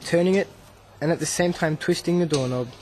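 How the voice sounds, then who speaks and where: A bashful teenage younger brother in a radio drama, acted by a woman. The voice sits low, hesitant and reluctant, lovesick underneath. There is clear noise in the background. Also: brisk, natural voice. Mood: wistful, playful. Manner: hesitant, reluctant, short answers. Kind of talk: radio drama acting for English learners.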